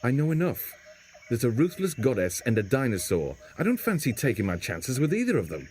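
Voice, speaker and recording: A man playing a gruff, stubborn, wounded castaway in an audio drama, guarded and sardonic. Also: mid-range voice, huge pitch swings, brisk, a little mellow. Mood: irritated, scornful. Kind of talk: fan audio drama acting.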